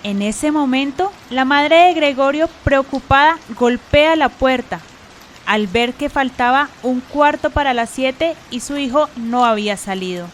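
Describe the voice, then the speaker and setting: A woman's voice: sits high, measured pace. A woman giving scripted narration for a radio drama, with rain-like hiss.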